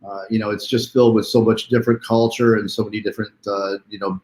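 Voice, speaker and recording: A man's voice: fairly low pitch, little pitch movement, quick pace, darkish tone, a little hiss or hum. A man hosting a live online interview in a chatty, welcoming, conversational way, with a roomy sound. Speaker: host.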